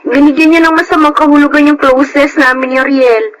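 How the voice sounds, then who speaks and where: A woman's voice: sits high, steady tone, fast talker, a crowd in the background, natural voice. An actress playing a poor, hurt young woman in a plaintive, emotional way in a Filipino radio drama. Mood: tearful, wounded.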